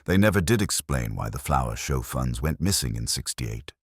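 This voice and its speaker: A man's voice: extremely low pitch, animated, moderate pace, husky, dry recording, clean studio sound, pitched below the performer's own voice, full character voice. A man voicing gossipy, suspicious elderly villagers who speculate together.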